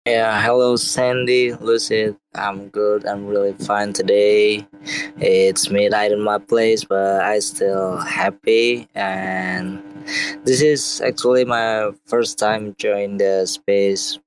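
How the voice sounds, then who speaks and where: A man joins the online chat as a guest, speaking very slowly and haltingly. His voice is low and flat. A faint hum runs behind him. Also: poor audio. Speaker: guest artist. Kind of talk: casual chat in an online audio room.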